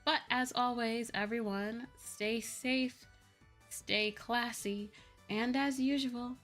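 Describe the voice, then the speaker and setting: A woman's voice: on the high side, steady tone, slow pace, fairly bright tone, soft background music. A woman livestreamer chatting casually to her viewers in a playful, self-deprecating manner. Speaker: livestreamer, VTuber.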